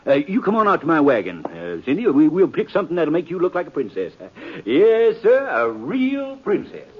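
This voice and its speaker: An actor playing a folksy, excitable, kindly wagon peddler with a rural American drawl in an old-time radio western. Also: male voice, on the high side, moderate pace, husky, soft background music, full character voice. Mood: warm, delighted. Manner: folksy, drawling, chuckling, swooping delivery. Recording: dull, lo-fi vintage radio recording.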